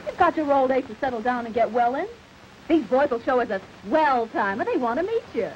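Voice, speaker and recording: High-voiced brash 1930s showgirl. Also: big pitch swings, brisk. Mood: pressing. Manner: slangy, wisecracking, pushy. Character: brash, fun-loving burlesque stripper, worldly young woman. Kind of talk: 1930s film melodrama acting. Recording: scratchy, dull old film soundtrack.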